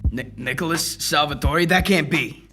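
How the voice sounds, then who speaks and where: A tough private eye acted by a man from an old radio mystery. The voice sits slightly higher than average, with clipped, hard-boiled phrasing. Loud music runs behind it. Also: normal speed, close to an everyday voice. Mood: guarded. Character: tough, protective 1940s-style male private detective. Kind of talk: old-time radio detective acting.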